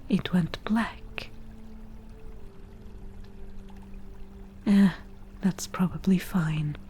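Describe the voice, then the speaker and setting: A woman voicing a laid-back witch brewing potions, whispering breathily and matter-of-factly in an unhurried ASMR roleplay. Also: fairly low pitch, mellow, slight background noise. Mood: nonchalant. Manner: unhurried, matter-of-fact, casual.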